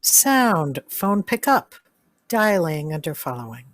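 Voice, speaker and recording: A woman's voice: sits low, very animated, slow pace. A woman reading sound effect cues aloud in a live radio drama, announcing each cue plainly. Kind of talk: reading stage directions aloud.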